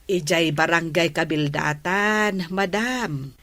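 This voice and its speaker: A woman's voice: on the low side, slow pace, a bit bright, no echo. A woman with an Ilocano accent playing a patient, earnest village captain's wife in a radio comedy drama. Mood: earnest, polite.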